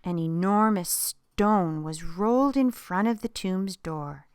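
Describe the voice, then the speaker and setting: A woman reading a Bible story aloud for children, telling it expressively and dropping to a hushed whisper at dramatic moments. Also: lowish voice, slow, clean tone, dry recording, clean studio sound.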